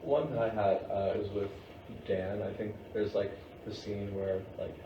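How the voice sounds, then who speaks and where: A man asking questions in a casual group discussion, with halting phrasing. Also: lowish voice, steady tone. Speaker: audience member asking questions. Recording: muffled, noisy, echoey room from far off.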